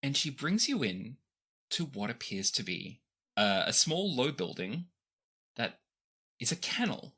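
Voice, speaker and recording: A game master narrating a tabletop roleplaying game off the cuff, describing scenes expressively with pauses. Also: medium pitch, sing-song, pushed and bright, husky.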